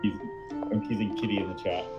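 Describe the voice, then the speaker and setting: A man's voice: fairly low pitch, flat delivery, fairly quick, darkish tone, faint music behind. A man chatting casually as co-host of a live game stream. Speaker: livestream co-host. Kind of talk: casual chat over a game.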